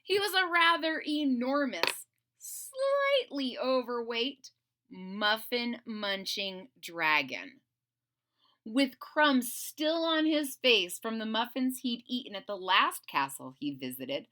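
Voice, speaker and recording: A woman reading a children's story aloud in a theatrical, playful way, pausing to explain things simply to young listeners. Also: fairly high pitch, very animated, sharp tone. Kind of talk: expressive children's read-aloud with simple asides.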